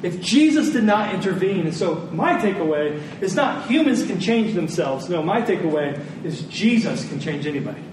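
A man's voice: sits high, animated, moderate pace, few pauses. A middle-aged man preaching a sermon in a conversational way, putting rhetorical questions to his listeners. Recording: very echoey room, distant mic, faint noise.

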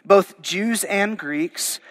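A man's voice: sits high, very slow, big-room sound. A male pastor, losing his voice, preaching a verse-by-verse sermon in a conversational, informal way. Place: church sanctuary.

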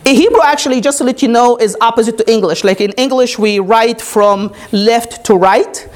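A woman whose first language is Arabic delivers a teaching sermon. Her voice sits a touch high. She keeps checking in with the congregation as she explains. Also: normal speed. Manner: calls "Amen?" to the congregation, explains step by step. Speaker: pastor.

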